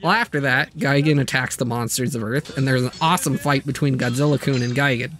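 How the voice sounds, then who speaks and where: A man's voice: medium pitch, fairly even intonation, fairly quick, a bit bright, faint music behind. A man hosting a video channel, narrating a scripted overview with light, self-aware asides.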